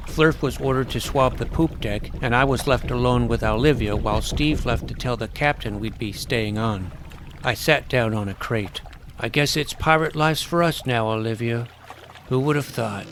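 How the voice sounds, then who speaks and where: A man playing an earnest, loyal twelve-year-old boy adventurer who narrates and acts in a fantasy audio drama, with a gravelly creak. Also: medium pitch, a bit bright, a little hiss or hum, lightly acted.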